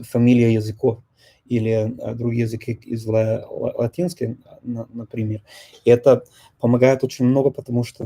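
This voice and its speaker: A man, a native English speaker, hosting a casual group chat and often pausing to search for words. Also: medium pitch, dark tone. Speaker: host of a discussion on multilingual events.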